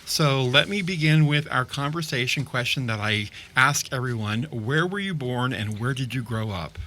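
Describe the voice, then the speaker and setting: A man's voice: medium pitch, animated, normal speed, sharp tone. Man hosting a community radio show. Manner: scripted opening, then chatty and upbeat with the guest.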